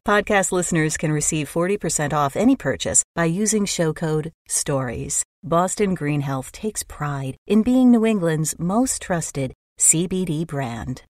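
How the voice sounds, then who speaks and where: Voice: medium pitch, lively intonation, measured pace, few pauses, dry recording, studio quality. A podcast host reads a scripted advertisement. The delivery is that of a prepared sponsor message addressed straight to listeners. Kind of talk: scripted ad read.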